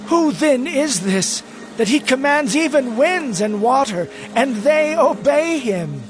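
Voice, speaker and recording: A man doing high-voiced awestruck disciples. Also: animated, slow pace, exaggerated voice. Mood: awed. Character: frightened male disciples, awed and anxious. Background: music.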